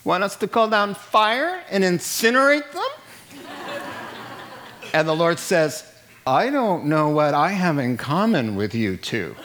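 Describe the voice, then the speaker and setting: A middle-aged man preaching a sermon in an animated way, acting out the people he quotes and laughing at his own jokes. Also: sits high, takes it slowly, background noise. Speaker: pastor.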